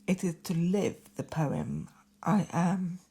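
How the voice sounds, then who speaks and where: A woman poet speaking reflective lines in short, measured, deliberate fragments for an audio documentary. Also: on the low side, huge pitch swings, dark tone, slightly rough. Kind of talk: poetic spoken reflection in a documentary audio piece.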